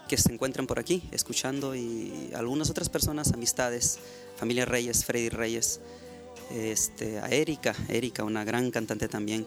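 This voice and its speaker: A middle-aged man with a Mexican accent talks earnestly as a guest on a live radio show. His voice sits at an ordinary pitch, warm-hearted and devotional in manner. Faint music plays underneath. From Mexico, Baja California.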